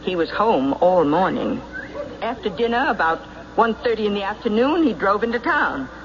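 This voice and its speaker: An actress playing a distraught, defiant farm wife in an old radio drama, emphatic and quick to bristle. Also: female voice, mid-range voice, sing-song, natural voice. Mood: defensive. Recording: muffled vintage radio recording, faint noise.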